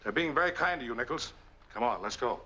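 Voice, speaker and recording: A man's voice: medium pitch, expressive, fast talker, sharp tone, a little hiss or hum, rough recording. A man acting in a 1940s film as a skeptical police captain, authoritative and dismissive, on an old film soundtrack. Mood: stern, skeptical. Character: brisk, skeptical small-town police captain.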